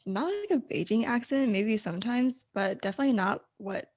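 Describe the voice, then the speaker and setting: A young woman co-hosting a podcast, chatting in a relaxed way with dry little asides. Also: on the high side, moderate pace. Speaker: podcast co-host. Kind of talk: casual chat.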